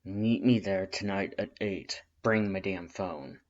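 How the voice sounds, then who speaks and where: A performer voicing a crude male skeleton monster with a low, gruff, threatening and terse delivery. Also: slow, lo-fi sound. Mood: grudging.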